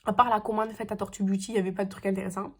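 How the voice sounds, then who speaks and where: A woman's voice: medium pitch, little pitch movement, very fast, a bit bright, slightly rough. Stuffy-nosed young woman rambling casually. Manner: chatty and meandering, full of hesitations. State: stuffy nose from a cold. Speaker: beauty vlogger. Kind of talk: casual vlog chat.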